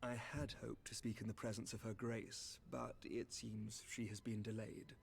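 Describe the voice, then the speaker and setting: Casting: noble lord from a game, measured formal oratory, even middle voice, read by a man. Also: fairly low pitch, gravelly, slight background noise. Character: noble male lord giving a formal speech.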